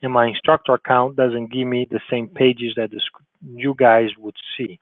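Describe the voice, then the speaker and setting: A man's voice: medium pitch, little pitch movement, moderate pace, dry recording. A male college advisor giving an informal screen-share tutorial, talking loosely with restarts and frequent "okay?" check-ins. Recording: tinny phone-like narrow sound, dry.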